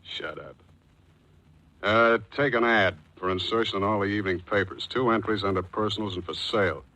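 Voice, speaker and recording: An actor playing a wisecracking, brusque private detective, with clipped, cynical delivery and first-person narration in 1940s radio style. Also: male voice, on the low side, normal speed, pushed and bright. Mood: brusque, wry. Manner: clipped, terse questioning. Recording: 1940s radio drama with music.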